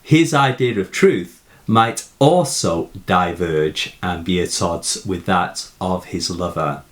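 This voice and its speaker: Male narrator for a literature lesson: lowish pitch, very unhurried, formal, lively intonation. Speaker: poetry teacher. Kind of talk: scripted explanatory narration of a poem analysis.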